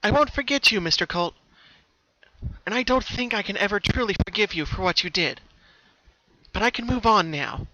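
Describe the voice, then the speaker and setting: A man voicing a brave, cheerful young female pony with gentle resolve in a dramatic story reading. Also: high-pitched, expressive, moderate pace, no room sound, voice pushed up. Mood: relieved, resolute. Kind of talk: dramatic fan-fiction reading. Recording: dull lo-fi recording, background noise.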